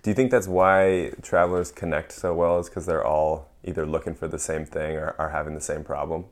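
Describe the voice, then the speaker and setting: Low-voiced man hosting a podcast. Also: little pitch movement, brisk. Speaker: podcast host. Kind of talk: scripted podcast intro, then interview.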